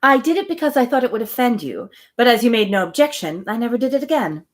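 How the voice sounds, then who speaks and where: A woman reading the lines of a lively, playful young heroine in her own voice. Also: a little high, fast.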